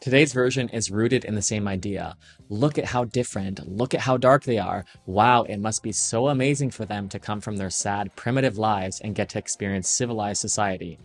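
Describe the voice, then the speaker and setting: A man with a somewhat low voice delivers a scripted commentary on the fashion world. His tone is measured and critical, and he lays out his points steadily. Soft music plays faintly beneath the narration. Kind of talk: scripted video-essay narration.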